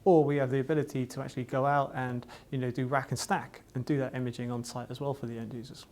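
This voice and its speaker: A man's voice: medium pitch, little pitch movement, quick pace, darkish tone, a little hiss or hum. A man from Wales, speaking in a corporate promotional interview and leaning on "you know" fillers. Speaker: distributor sales representative.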